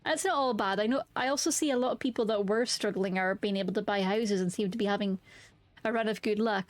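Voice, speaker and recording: A woman's voice: medium pitch, no echo. A young woman streaming a game, chatting candidly and swearing freely, often restarting her sentences as she rambles. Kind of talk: casual chat while streaming a game.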